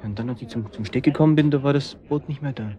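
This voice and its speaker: An actor in a German radio drama playing a timid, evasive friend weighed down by guilt, speaking in hesitant, stammered repetitions. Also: male voice, medium pitch, quick pace, dark tone, close to an everyday voice. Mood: evasive, nervous.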